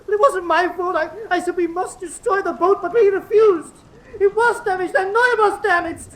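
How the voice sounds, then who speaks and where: An actor playing a frightened German U-boat officer in a radio drama, stammering, pleading and defensive. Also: male voice, very high voice, steady tone, normal speed. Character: panicked, cowering male German U-boat officer under interrogation. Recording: faint background noise.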